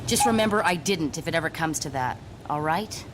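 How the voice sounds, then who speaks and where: Actress as a hard, curt young rebel, clipped orders, noisy recording. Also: female voice, animated, a little fast. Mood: threatening. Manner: curt, threatening, low commands. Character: defiant, dangerous young woman escaping captivity.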